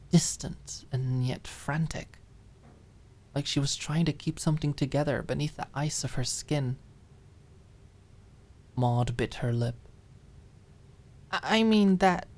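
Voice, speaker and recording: Low-pitched woman narrating a story. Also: a little mellow. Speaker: audiobook narrator. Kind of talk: scripted dramatic narration.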